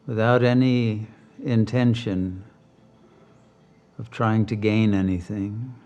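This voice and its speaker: A man leading a guided meditation as a teacher, speaking calmly and deliberately with long pauses. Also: fairly low pitch, dull audio.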